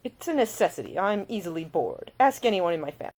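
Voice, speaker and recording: A woman voicing a large, cheery, roguish idle gentleman in an audiobook, with a formal, lightly amused drawl. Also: on the low side, sing-song, fast talker. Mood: teasing. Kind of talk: audiobook character dialogue.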